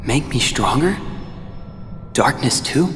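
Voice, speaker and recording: An actor playing a brooding, quiet teenage boy in a video game cutscene. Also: medium pitch, expressive, slow pace, slight background noise. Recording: reverberant with music.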